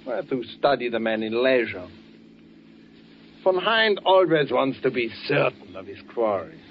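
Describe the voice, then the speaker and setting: An actor playing a smooth, sly German officer who probes his companion in a 1940s radio drama. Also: medium pitch, big pitch swings, measured pace, slight background noise. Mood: insinuating. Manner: insinuating, probing, mock-friendly. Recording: muffled 1940s radio broadcast.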